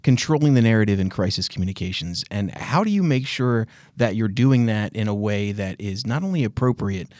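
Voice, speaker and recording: Podcast host guy, lowish voice, asks long careful questions, dry mic. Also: animated, fairly quick, few pauses, darkish tone, dry recording. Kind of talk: interview questions.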